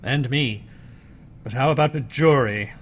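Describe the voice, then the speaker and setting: An actor playing a dry, methodical police inspector in a period radio crime drama, deliberate and authoritative. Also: male voice, medium pitch, a little hiss or hum, lightly acted.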